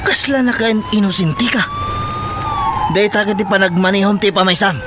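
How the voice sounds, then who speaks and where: Guy doing cocky gleeful gunman on the run, ilocano accent, high pitched, radio drama with sirens n car noise. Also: moderate pace, lightly acted. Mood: gloating. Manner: boastful, laughing, forceful. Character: brash, gleeful male armed gunman on the run. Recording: sirens and vehicle noise behind.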